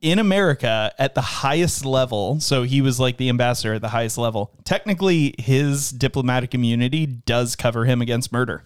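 A man's voice: medium pitch, fairly quick, few pauses, clear, resonant tone, no room sound, clean studio sound. A man co-hosting a movie podcast who explains things at length, full of hesitant fillers. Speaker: podcast co-host. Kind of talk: casual movie discussion.

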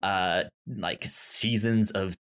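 A podcast co-host chatting casually, speaking haltingly with frequent restarts. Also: deep voice, fairly even intonation. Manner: halting, many fillers and restarts. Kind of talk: casual chat.

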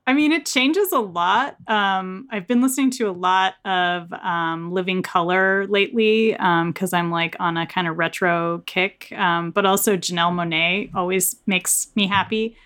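A woman's voice: fairly low pitch, unhurried, hardly any pauses, a bit bright, clear, resonant tone. An American woman speaking in an earnest, reflective, conversational way as an interview guest, often saying "like" and "you know". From United States. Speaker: author being interviewed.

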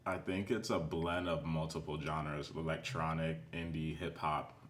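A man's voice: a bass voice, flat delivery, unhurried, a bit bright, slight background noise. A man chatting casually as a music producer guest on a podcast. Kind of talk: casual chat.

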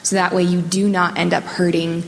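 A woman's voice: lowish voice, flat delivery, moderate pace. A woman earnestly explaining her view to a group as a discussion participant. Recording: echoey hall, faint noise.

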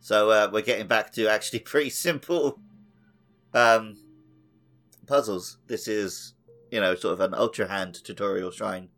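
A man's voice: fairly low pitch, fairly even intonation, measured pace, fairly bright tone, soft background music. A man chatting casually as a podcast host.

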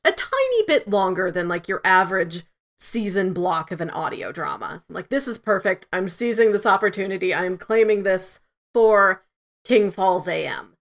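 Female podcast co-host, medium pitch, informal conversational delivery with lots of filler "like". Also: animated, moderate pace. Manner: conversational, frequent "like" asides. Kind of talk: casual review podcast chat.

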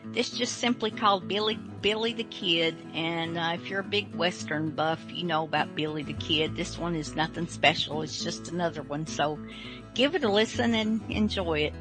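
Lowish-voiced woman hosting casually over music. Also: normal speed. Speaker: show host.

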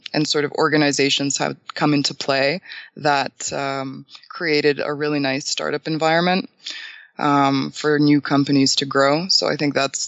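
A young man talks through his answers slowly, pausing on fillers and restarting his sentences. His voice sits a little high for a man and has a gravelly fry. The recording is dry and dull, like a lo-fi mic. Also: fairly bright tone, no echo. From Bulgaria. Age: 20s. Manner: thoughtful, many ums and restarts. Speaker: guest. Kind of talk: casual interview answers.

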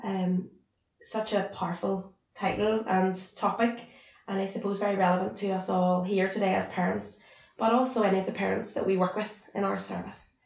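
A woman's voice: medium pitch, little pitch movement, normal speed, vocal fry, darkish tone. A woman, hosting a podcast and welcoming her guests as she steers the talk. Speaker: podcast host and service lead. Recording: echoey room.

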